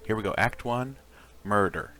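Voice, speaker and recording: Low-voiced man casually narrating his gameplay. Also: normal speed, bright, forceful tone. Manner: offhand, thinking aloud. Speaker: gaming video host. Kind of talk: casual let's play commentary. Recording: music behind the voice.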